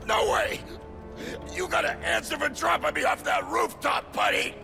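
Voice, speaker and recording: An actor playing a defiant, mouthy low-life crook in a video game, talking in combative street slang with a gravelly voice. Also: male voice, fairly even intonation, normal speed, over music, exaggerated voice. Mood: panicked shouting.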